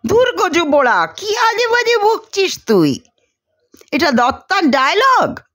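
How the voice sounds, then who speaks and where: A woman's voice: very high-pitched, big pitch swings, slow, fairly bright tone, voice pushed up, heavily acted. A woman voicing a young female student in a storybook, dramatic and easily exasperated, with an emphatic, exclaiming manner. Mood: astonished, scolding. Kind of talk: storybook character dialogue.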